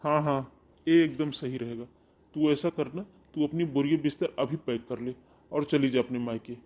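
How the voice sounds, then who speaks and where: A man voicing a firm, fair-minded elder brother who lectures his family in a husky voice. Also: mid-range voice, moderate pace, a little mellow, close to an everyday voice. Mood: dismissive.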